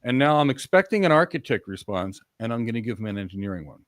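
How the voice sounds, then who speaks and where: A man's voice: mid-range voice, sing-song, quick pace, no room sound. Man talking with a dry close sound. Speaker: host. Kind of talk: live-stream career talk with mock interview role-play.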